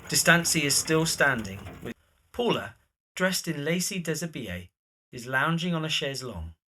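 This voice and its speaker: A man's voice: on the high side, sing-song, normal speed, bright, forceful tone, breathy edge. A man reading scripted scene narration as the narrator of a period drama.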